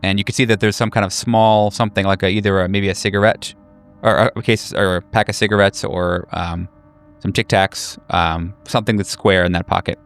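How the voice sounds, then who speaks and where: Lowish-pitched man narrating as game master. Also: steady tone, fairly quick, a bit bright, smooth. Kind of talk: improvised tabletop game narration.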